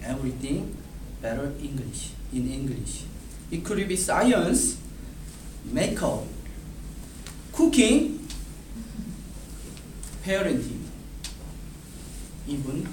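Korean man in his thirties giving a class speech, slow and halting, taped from far across a roomy classroom. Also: on the high side. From South Korea. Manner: halting, repeats and restarts words, gestures while reading. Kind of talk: reading a prepared class speech. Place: classroom in front of a whiteboard. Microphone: far camera. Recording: roomy, distant, noisy classroom audio.

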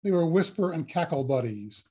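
Guy reading a poem on a video call, bit high pitched, really mellow tone. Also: expressive, normal speed, breathy edge. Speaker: poet at an open mic. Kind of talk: poetry reading.

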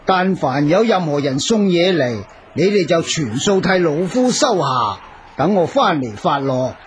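An actor playing a learned, composed old feng shui master in a radio drama, with Hong Kong Cantonese speech and measured, old-fashioned phrasing. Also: male voice, fairly high pitch, sing-song, slight background noise. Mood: resolved.

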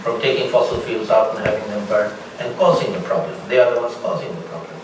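A man's voice: medium pitch, fairly even intonation, recorded from a distance, slight background noise. Man from Bangladesh, echoey lecture hall. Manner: measured, explanatory, builds points with examples. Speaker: panelist. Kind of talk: panel discussion answer. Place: large lecture hall with audience. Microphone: room mic from a distance.

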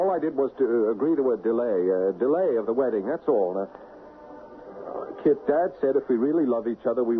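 This is a man acting a weak-willed young fiancé in a seventies radio play. He is exasperated and stammers defensively, his pitch jumping around. The broadcast is muffled, with faint music behind. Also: natural voice. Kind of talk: 1970s radio drama acting.

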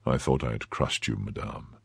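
A man voicing a stern, brooding nobleman who speaks slowly, lowly and menacingly in a quiet, husky voice. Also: steady tone, no echo, clean studio sound, exaggerated voice. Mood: coldly menacing. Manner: slow, low and menacing, quietly bitter. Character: stern brooding male nobleman, cold and grave.

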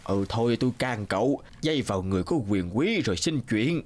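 A man voicing a weary, humble old water carrier with a low, hesitant voice in an animated folk tale. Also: fairly quick, close to an everyday voice. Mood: cautious.

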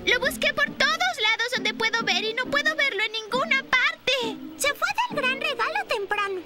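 A woman's voice: very high voice, moderate pace, loud music behind the voice. A woman voicing a sweet, caring little girl dinosaur in a children's cartoon, childlike and repeating words for emphasis. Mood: worried. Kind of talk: Spanish-dubbed children's cartoon acting.

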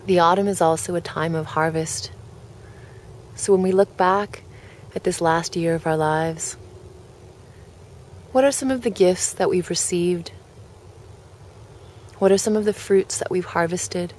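A woman with a fairly low voice guides a relaxation meditation. She speaks gently, in short measured phrases with space between them. Clear background ambience and noise sit behind her voice.

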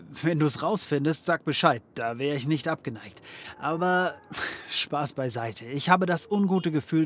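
An actor playing a flippant, sarcastic private detective in a comedy audio drama, joking and theatrical. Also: male voice, mid-range voice, slight background noise, lightly acted.

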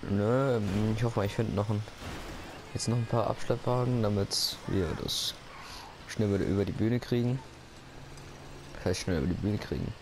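Male let's-player, low gravelly voice, noisy capture, rambling jokes. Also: normal speed, long pauses. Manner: rambling, joking to himself. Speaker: gaming YouTuber. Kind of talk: casual let's-play commentary. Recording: noisy gameplay recording.

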